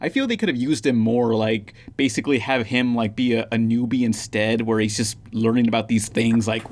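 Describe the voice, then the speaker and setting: A man's voice: mid-range voice, fairly quick. Male podcast host, faint noise behind. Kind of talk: casual podcast chat.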